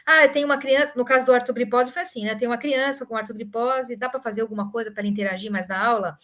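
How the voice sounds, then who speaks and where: A woman with a Brazilian accent giving an informal academic talk, telling stories in an animated way and often tagging phrases with short questions. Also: on the high side, very fast. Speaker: academic speaker answering questions.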